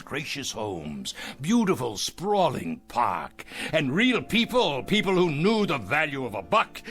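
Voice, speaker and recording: An actor playing a ruthless, boastful older tycoon in an audio drama, with a theatrical, sardonic manner. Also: male voice, on the high side, sharp tone, full character voice. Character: ruthless aging male tycoon, boastful, hungry, nostalgic for hometown.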